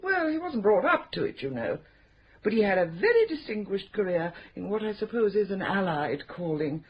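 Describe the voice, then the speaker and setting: An actress playing a prim, mischievous, scheming spinster who runs a charity, in a radio drama. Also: female voice, medium pitch, sing-song, moderate pace, slightly rough. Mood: confiding. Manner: arch, coy, prim and mischievous. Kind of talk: 1970s radio drama acting. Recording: dull slightly noisy radio drama recording.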